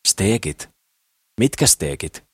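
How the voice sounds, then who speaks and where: A man voicing a brash young woman in an audiobook, brisk and scornful but comforting. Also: deep voice, very animated, a bit bright, breathy edge, no room sound, clean studio sound, pitched above the performer's own voice, full character voice. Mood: irritated, scornful. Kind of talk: audiobook character voice.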